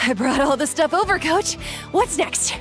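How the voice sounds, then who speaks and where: A weary, dutiful young helper in a game cutscene, speaking very slowly in a high voice. Game music plays underneath. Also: female voice, lively intonation.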